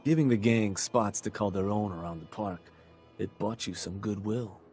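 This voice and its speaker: Man playing a low, sly, scheming raider adviser. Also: moderate pace, a little mellow, soft background music, lightly acted. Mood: persuasive. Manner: smooth-talking, sardonic, persuasive. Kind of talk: video game character dialogue.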